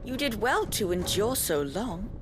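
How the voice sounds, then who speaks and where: An actress playing a cold, scheming villain in a fantasy game, haughty and measured, with regal formal phrasing. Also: female voice, medium pitch, sing-song, over music. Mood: cold, cruel. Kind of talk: dramatic fantasy game acting.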